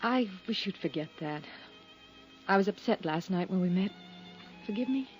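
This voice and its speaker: An actress playing a wistful, confiding, lonely widow in 1950s radio drama, genteel and hesitant. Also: female voice, medium pitch, animated, moderate pace, natural voice. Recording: muffled old radio recording with music.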